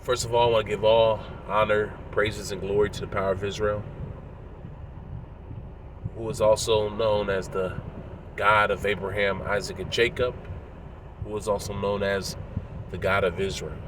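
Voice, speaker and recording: A man in his thirties, his voice low and thick with congestion, talks at a deliberate pace with frequent pauses. He moralizes like a preacher giving a lesson. A faint background noise sits under the recording. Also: steady tone, a bit bright, rough recording. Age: 30s. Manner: measured, moralizing, pausing often. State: congested and nasal from a cold, coughing. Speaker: religious commentator. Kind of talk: preachy commentary video.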